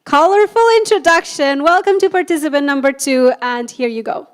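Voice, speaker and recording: A woman with a high voice hosts a live event. She sounds upbeat and brisk, thanks the jury and reads out introductions for each participant. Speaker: event host. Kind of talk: hosting and reading introductions.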